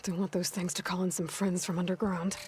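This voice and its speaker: A woman playing a determined young huntress in an action game. Her voice is on the low side, earnest and guarded, often hushed as if stalking prey, with clear noise behind it. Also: flat delivery, brisk, lightly acted. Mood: focused, hushed. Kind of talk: video game voice acting, hushed self-narration.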